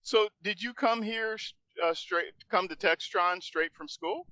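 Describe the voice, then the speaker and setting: A man's voice: high voice, animated, measured pace, bright, forceful tone, no room sound, lo-fi sound. A man hosting an on-location interview, chatty, laughing often and restarting his questions. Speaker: podcast host. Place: aircraft manufacturing facility.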